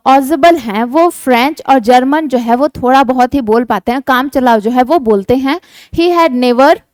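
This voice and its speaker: High-voiced woman teacher. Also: a little mellow, no room sound. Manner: reads English lines slowly, then explains with frequent "ठीक है" fillers. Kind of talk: Hindi explanation of an English textbook chapter.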